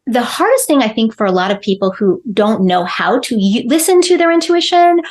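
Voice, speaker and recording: A woman's voice: on the high side, sing-song, brisk, darkish tone. Woman podcast host asking rambling questions. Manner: rambling questions with many restarts. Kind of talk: podcast interview.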